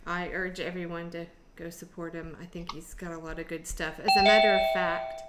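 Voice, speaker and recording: A woman's voice: lowish voice, flat delivery, brisk. A middle-aged woman hosting a casual online group discussion, talking in a rambling, scattered way. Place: indoor room on an online video call. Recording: roomy echo, background noise.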